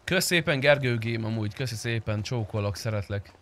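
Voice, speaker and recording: A man's voice: fairly low pitch, a bit bright. A man in his thirties chatting casually and crudely as he streams a roleplay game into a desk microphone. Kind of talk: casual gaming stream chat with friends. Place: indoor home streaming room. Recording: clear vehicle and game noise behind.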